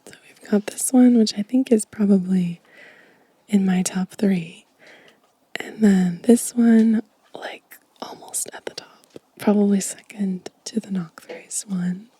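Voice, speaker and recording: A woman speaking in a soft, breathy whisper, gently and intimately, as in an ASMR show and tell close to the microphone. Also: mid-range voice, slow pace, no echo, rough recording. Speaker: host of an ASMR channel.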